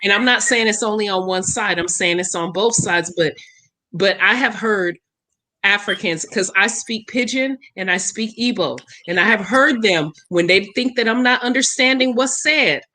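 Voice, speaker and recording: Female panelist with a forceful, sharp, medium-pitched voice. Blunt and emphatic, arguing candidly in a casual group discussion. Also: normal speed. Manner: blunt, emphatic, repeats phrases. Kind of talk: candid heated casual debate.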